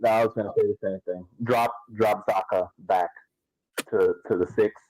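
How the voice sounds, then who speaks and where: A man's voice: fairly low pitch, fairly even intonation, moderate pace, darkish tone, low-quality recording. A man co-hosting a casual sports podcast, explaining tactics and repeating phrases to make his point. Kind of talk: casual soccer tactics discussion.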